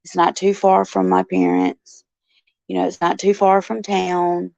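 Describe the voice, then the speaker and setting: Gravelly, raspy low-voiced woman host. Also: flat delivery, a little slow, darkish tone, dry recording. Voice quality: raspy, gruff. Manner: chatty and folksy, addresses viewers by name. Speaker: show host. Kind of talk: casual chat, answering viewers. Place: indoors in a care facility. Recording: dull lo-fi, dry sound.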